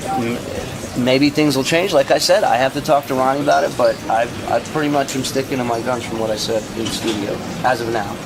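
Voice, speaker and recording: A man talks casually and bluntly, pressing his points in an unscripted dispute. His voice sits at a medium pitch, with clear noise behind it. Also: fairly even intonation, fairly quick. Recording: clearly noisy, ambient rumble.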